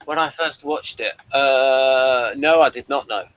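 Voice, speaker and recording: A man's voice: mid-range voice, unhurried, fairly bright tone. A man giving his opinions in casual review chat as a podcast co-host, animatedly acting out imagined quotes.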